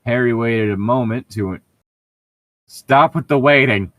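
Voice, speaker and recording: A man's voice: mid-range voice, measured pace, fairly bright tone, no room sound. A man co-hosting a casual read-aloud of fan fiction, breaking in with irreverent, sweary, joking commentary.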